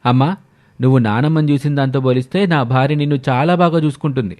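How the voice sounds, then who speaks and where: A man's voice: medium pitch, quick pace, a little mellow, natural voice. A man playing a defiant, sarcastic married son arguing in a family story. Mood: stern. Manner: argumentative, sarcastic. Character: defiant married adult son, argumentative, defending his wife.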